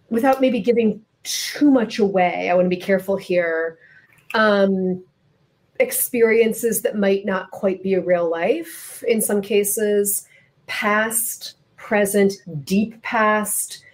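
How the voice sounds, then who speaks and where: A woman's voice: mid-range voice, darkish tone. A woman moderating a literary interview, conversational and a little wry, building long questions piece by piece.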